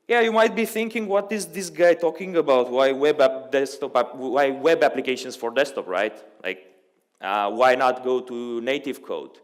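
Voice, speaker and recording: A young man whose first language is Romanian presents to a conference audience at an ordinary middle pitch. He speaks casually, filling pauses with ums and uhs, and his voice trails off into a long hall reverb. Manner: conversational, many ums and uhs. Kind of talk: technical conference talk.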